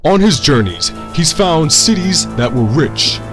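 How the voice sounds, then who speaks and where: A man voicing a self-important, blunt town leader and trader in a comic game parody dub, speaking in a deliberate, pompous way. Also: a little high, very animated. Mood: businesslike. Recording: music under the voice.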